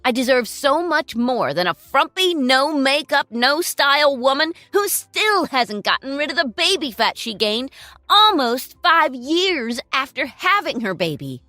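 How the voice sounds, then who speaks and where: A woman's voice: fairly high pitch, big pitch swings, moderate pace, a bit bright, dry recording. Smug jerk of an ex-husband, talks down to everyone, woman voicing him. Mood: sneering. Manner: sneering, condescending. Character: smug selfish ex-husband, middle-aged man.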